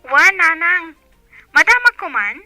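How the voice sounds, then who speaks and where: A young Filipino student on the phone in a radio drama. Her very high, piercing voice keeps asking insistent questions through a telephone filter, with no room echo. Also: sing-song, a little slow, sharp tone, faint music behind, heavily acted. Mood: puzzled. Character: inquisitive young female student phoning her elder.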